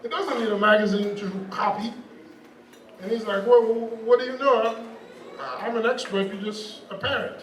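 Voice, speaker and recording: A man's voice: sits high, normal speed, a bit bright. A man, an artist and parent, telling a personal anecdote and acting out the dialogue he quotes. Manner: storytelling, acts out quoted dialogue. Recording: echoey room from far off, faint background noise.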